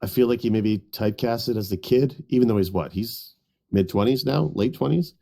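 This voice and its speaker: A man's voice: mid-range voice, normal speed, creaky, warm tone. A man chatting casually with friends as a podcast panelist. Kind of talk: casual chat.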